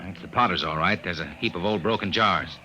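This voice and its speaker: An actor playing a curious, cautious young subordinate officer, hesitant and deferential, in old-time radio drama style. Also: male voice, sits low, a little fast, bright, forceful tone, highs cut off, slight background noise. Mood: uneasy, watchful. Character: curious, cautious junior human colonial officer.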